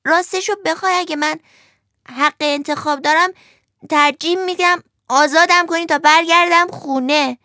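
A woman reading a storybook aloud, voicing a small wooden puppet boy who is indignant and frightened, with a shrill, pleading delivery. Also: fairly even intonation, bright, forceful tone, dry recording, studio quality.

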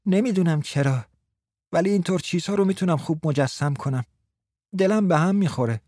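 A man's voice: a little high, darkish tone, dry recording, studio quality, voice pushed up, close to an everyday voice. A man voicing a domineering grown woman who mocks and teases her young lover, drawing out her words. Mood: squeamish. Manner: mocking, imperious, drawn-out words.

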